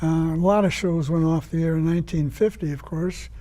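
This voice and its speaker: Mellow, higher-pitched man explaining in an interview. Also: steady tone, fairly quick. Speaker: guest, writer and director of radio plays.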